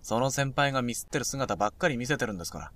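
A young man acting a blunt, provocative high school junior in an audio drama. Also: animated, quick pace, a bit bright, no echo. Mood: critical, matter-of-fact.

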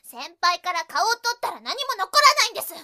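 A woman playing an earnest, scolding high school girl in an audio drama, polite but blunt and nagging. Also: sing-song, fairly quick, sharp tone, heavily acted. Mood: worried, flustered. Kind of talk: anime-like Japanese audio drama acting.

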